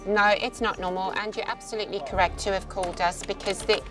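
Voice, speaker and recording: A woman police call handler reassuring a caller calmly. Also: mid-range voice, quick pace, distant mic, music in the background. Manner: calm, reassuring. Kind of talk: emergency phone call.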